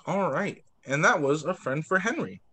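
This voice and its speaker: A young man reads a picture book aloud as a teacher would for small children. His voice sits a little high and swings widely in pitch. He narrates expressively and drops in short asides. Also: animated, moderate pace, pushed and bright, breathy edge. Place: indoors, on a video call.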